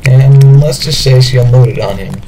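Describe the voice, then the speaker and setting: A man's voice: medium pitch, moderate pace, background noise. A man reading scripted narration for an amateur audio drama.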